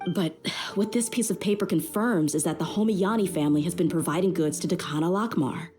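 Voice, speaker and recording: A woman's voice: lowish voice, a little fast, close to an everyday voice. A woman voicing a tough, confident young female mercenary with a blunt, streetwise manner in a video game. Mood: puzzled, suspicious. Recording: music behind the voice. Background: game music.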